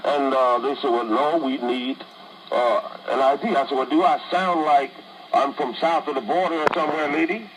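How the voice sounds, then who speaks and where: Male radio guest, average pitch, ornate wordy phrasing, pompous delivery, slight background noise. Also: steady tone, moderate pace. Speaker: guest who hosts his own shows. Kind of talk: conversational radio banter.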